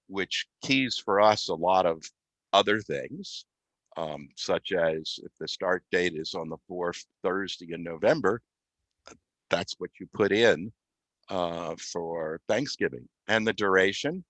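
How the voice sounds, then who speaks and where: A man giving an unscripted software demonstration as a guest, explaining step by step with halting, mid-phrase pauses. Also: low voice, no echo. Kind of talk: unscripted software demo walkthrough.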